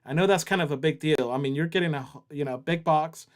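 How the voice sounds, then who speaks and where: A man's voice: fairly high pitch, brisk. A man hosting a casual livestream, joking around and reading viewer chat aloud. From Southern US. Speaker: livestream host.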